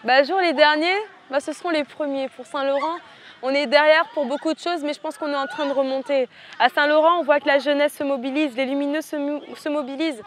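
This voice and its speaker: Impassioned young woman, high voice. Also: fairly even intonation, brisk, slight background noise. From French Guiana. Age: teens. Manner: impassioned, rhetorical, repeats phrases. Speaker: student activist leader. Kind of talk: interview answers.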